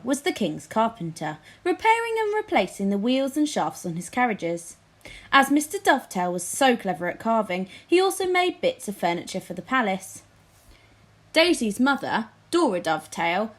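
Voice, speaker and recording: Woman, expressive children's story narration, lively varied intonation, medium pitch, teacher reading aloud.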